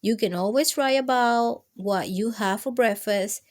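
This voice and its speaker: A woman reads a picture book aloud to small children. Her voice sits a little high and her pace is very slow. She stretches and stresses words expressively, as a storytime host does. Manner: drawn-out, emphatic delivery addressed to small children.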